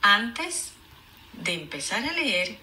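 Slow, very bright-voiced woman teacher. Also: medium pitch, big pitch swings, a little hiss or hum. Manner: deliberate, explanatory, enunciating for young learners. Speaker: teacher reading aloud. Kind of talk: children's read-aloud lesson.

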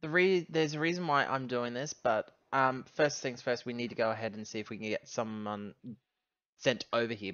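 A man deliberates aloud as a player in a tabletop roleplaying game. His talk is casual and improvised, circling back over his options. The sound is close, dry and slightly muffled, like voice chat. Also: mid-range voice, fairly bright tone, no echo.

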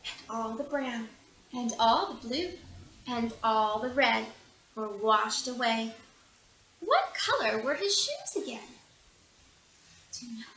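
A young woman reads a children's storybook aloud in an expressive, exaggerated, singsong way, recorded in a room at home. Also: a little high, slow, pauses often, recorded from a distance. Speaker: storybook reader. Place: small living room at home. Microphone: camera a few feet away. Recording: echoey room.

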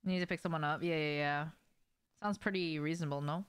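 A woman's voice: low-pitched, steady tone, brisk, smooth, no echo. A young adult woman casually chatting to viewers as she streams a game, offhand and sweary, often asking questions. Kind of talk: casual chat with viewers while gaming. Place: small room at home, indoors.

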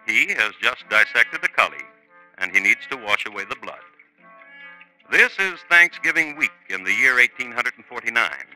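Bright, dramatic vintage radio crime narrator, male. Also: medium pitch, very animated, faint music behind, poor audio. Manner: deliberate, dramatic, formal storytelling. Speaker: host and narrator. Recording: vintage 1950s radio recording.

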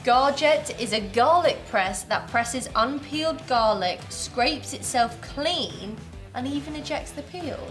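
Bright-voiced chatty British woman. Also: high-pitched, lively intonation, soft background music. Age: young adult. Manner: chatty, reads product blurbs aloud slowly. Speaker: host. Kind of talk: casual product-testing chat. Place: indoor home studio set at a table.

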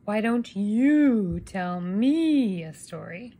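A woman voicing a weary, patient father rooster who sighs and gently scolds his chick in a picture-book read-aloud. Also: mid-range voice, animated, voice pushed down, heavily acted. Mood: tired. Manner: slow, sighing, gently scolding.

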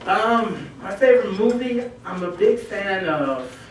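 A man's voice: high voice, expressive, unhurried, slight background noise. A man in his thirties from Chicago, speaking earnestly and conversationally to a club meeting as a guest, with many ums. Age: 30s. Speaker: guest speaker, film festival founder. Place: meeting room at a lunch gathering. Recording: very echoey room, distant mic.

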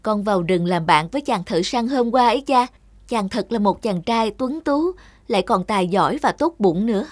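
A woman's voice: mid-range voice, expressive, moderate pace, darkish tone, dry recording, lightly acted. A woman voicing a sweet young deer maiden in a cartoon folk tale, tender and earnest. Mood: lovestruck.

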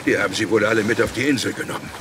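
An actor playing a hapless, sneaky, dim-witted cartoon villain who whines and exclaims to himself in a children's audio drama. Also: male voice, lowish voice, racing, background noise, close to an everyday voice. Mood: grumbling, busy. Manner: exclaiming, talking to himself, whining.

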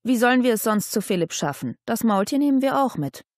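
A woman's voice: fairly high pitch, sing-song, a little fast, a little mellow, dry recording, studio quality, pitched above the performer's own voice. A woman voicing a vain, courtly nobleman with a polite, condescending manner in an audiobook. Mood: smug.

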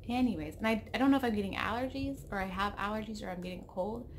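A woman's voice: mid-range voice, rapid-fire, slight background noise. A woman in her thirties hosting a knitting podcast from her home craft room, chatting in a rambling, self-interrupting way, her throat a little froggy. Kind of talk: casual chat. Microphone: webcam at desk.

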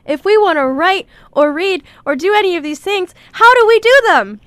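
Woman, high pitch, playful casual radio banter, very little room sound. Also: lively intonation, moderate pace, a bit bright, no room sound.

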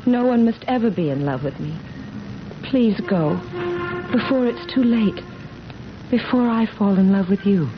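A woman's voice: mid-range voice, animated, unhurried, long pauses, close to an everyday voice. A woman acting in a 1970s radio drama as a young, fatalistic woman longing for love, earnest and melodramatic. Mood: anguished. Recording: dull, noisy vintage radio recording.

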